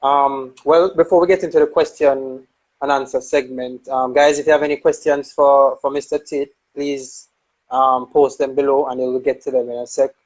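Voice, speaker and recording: A man's voice: mid-range voice, flat delivery, normal speed, darkish tone. A young man hosting a casual interview, tentatively phrasing and rephrasing his questions. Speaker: interviewer, host. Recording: slightly muffled, lo-fi sound.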